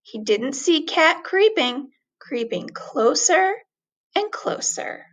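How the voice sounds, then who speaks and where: A woman reading a children's story aloud expressively, with deliberate, dramatic emphasis on words. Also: sits high, very animated.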